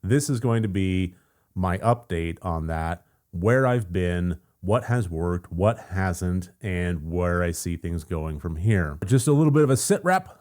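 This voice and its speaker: Gravelly low-voiced American man. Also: expressive, a little slow. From United States. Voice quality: gravelly morning voice. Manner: chatty and rambling, with fillers and soft laughs. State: just woken up, voice deeper than usual. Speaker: channel host. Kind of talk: casual vlog update.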